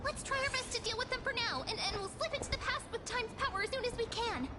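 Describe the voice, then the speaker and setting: An actress voicing a small, excitable female companion in a game, anxious and quick to react. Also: extremely high pitch, over loud music. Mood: urgent.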